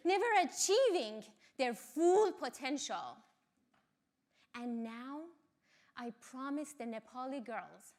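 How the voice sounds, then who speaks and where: A woman, a Farsi speaker, gives a motivational talk. She speaks slowly and earnestly, her voice set a little high. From Iran. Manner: earnest, measured delivery.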